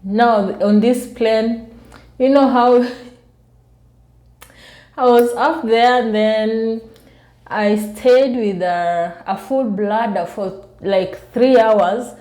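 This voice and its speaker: A soft-spoken woman with a Kenyan accent chats casually to her viewers, telling personal stories in a self-amused way. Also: on the high side, extremely slow, long pauses, reverberant. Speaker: YouTube vlogger. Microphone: newly bought external microphone.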